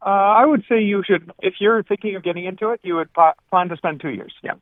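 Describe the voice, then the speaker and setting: A phone-like, narrow line carries an older man with a slightly high voice. He is plain-spoken and halting, rewording his sentences as he explains things to an interviewer, with little room sound. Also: dry recording. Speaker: retired air traffic controller, interview guest. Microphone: phone call.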